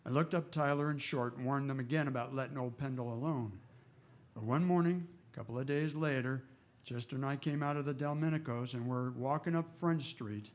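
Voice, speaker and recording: An actor playing a firm, fair-minded frontier marshal in a western radio drama. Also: male voice, medium pitch, brisk, close to an everyday voice. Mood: narrating, measured. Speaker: actor and narrator.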